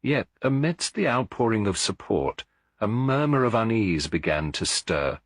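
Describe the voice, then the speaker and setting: Male narrator for mystery audiobook: low, slightly husky, measured storytelling. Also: lively intonation, dry recording, studio quality.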